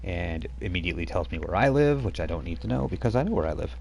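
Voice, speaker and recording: A man's voice: deep voice, expressive, fast, gravelly, a little mellow, slight background noise. A man running a tabletop role-playing game as game master, chatty and rambling, laughing often as he talks.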